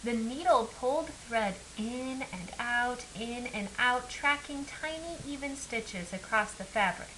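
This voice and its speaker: A woman reading a children's picture book aloud as an expressive storyteller. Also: fairly high pitch, measured pace, few pauses, poor audio. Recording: clear background hiss.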